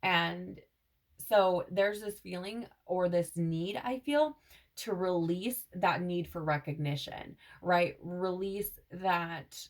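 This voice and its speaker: A woman with a fairly low voice talks through a tarot reading in a loose, meandering way, often tagging "right?" and "like" onto her thoughts. Her throat sounds a little scratchy. She speaks as if passing on intuitive messages. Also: slow. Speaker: tarot reader. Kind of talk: casual spiritual tarot reading to camera.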